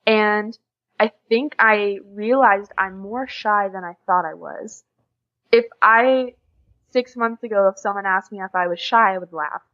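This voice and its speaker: Young American woman, informal and chatty interview guest, mid-pitched voice, slightly muffled lo-fi sound. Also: a little slow, a bit bright. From USA. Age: young adult. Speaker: podcast guest, exchange student. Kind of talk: casual interview chat.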